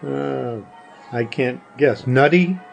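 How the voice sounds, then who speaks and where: Man, mid-pitched voice, podcast guest, plain-spoken, short casual answers, music behind. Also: slow, gravelly. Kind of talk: casual question-and-answer chat.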